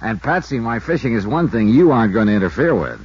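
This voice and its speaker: A man's voice: mid-range voice, big pitch swings, quick pace, darkish tone, slightly rough, no echo. An actor playing a breezy, wisecracking male insurance investigator who narrates his own case in a 1950s radio drama. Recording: dull 1950s radio recording with music.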